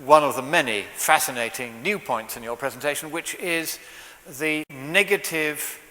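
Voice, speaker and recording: A man with a bright voice puts a carefully worded technical question to the speaker. He speaks formally and precisely, and the lecture hall lends a long, ringing reverb. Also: a little high, moderate pace. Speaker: audience member asking a question.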